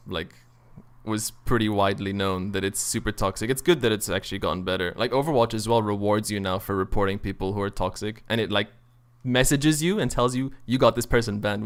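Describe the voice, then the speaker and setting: A man's voice: fairly low pitch, a little fast. A man chatting casually and enthusiastically on a gaming podcast as its host, swearing freely.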